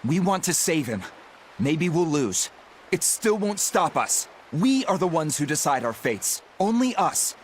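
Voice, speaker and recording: A fiery, loyal young pirate in a video game. His voice is high and very animated, full of defiant passion, with faint background noise. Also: unhurried. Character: hot-headed, loyal young male pirate crewman. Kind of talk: English video game voice acting.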